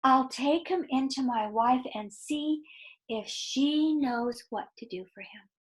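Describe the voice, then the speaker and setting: A woman's voice: high-pitched, slow, poor audio. A woman reading the part of a plain-spoken, kindly male farmer in a storybook, with emphatic, matter-of-fact delivery. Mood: pitying.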